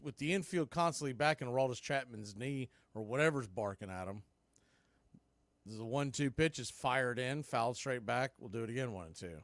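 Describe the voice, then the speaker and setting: A man doing radio baseball play-by-play, making steady calls mixed with conversational analysis. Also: medium pitch, no room sound. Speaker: play-by-play announcer.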